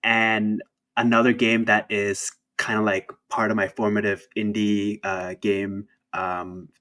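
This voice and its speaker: A man's voice: fairly low pitch, flat delivery, slow, pushed and bright. A young man from Thailand, an indie game developer, chatting casually as an interview guest, with many fillers and restarts. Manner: hesitant, many fillers and restarts, laughs easily.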